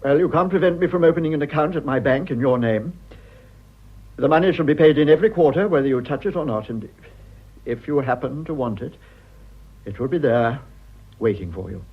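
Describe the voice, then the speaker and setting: An actor playing an earnest, self-sacrificing English politician husband in a radio drama, speaking formally with hesitant, measured delivery. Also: male voice, on the high side, slightly rough. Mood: earnest, restrained. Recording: muffled, dull radio drama recording.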